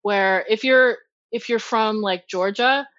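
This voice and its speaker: A woman's voice: medium pitch, fairly bright tone, dry recording. A woman from Ohio with a Midwestern American accent, chatting on a podcast in a bubbly, questioning way. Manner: bubbly, questioning, quick laughs. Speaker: podcast co-host. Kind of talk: casual podcast chat. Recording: slightly dull lo-fi audio.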